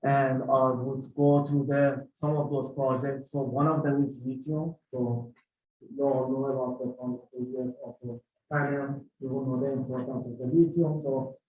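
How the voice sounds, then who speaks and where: Flat-voiced man in a dull echoey hall. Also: medium pitch, moderate pace. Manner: flat, steady, with frequent fillers and restarts. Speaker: panelist researcher. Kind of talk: academic presentation. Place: university hall. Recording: dull, echoey distant hall recording with faint hum.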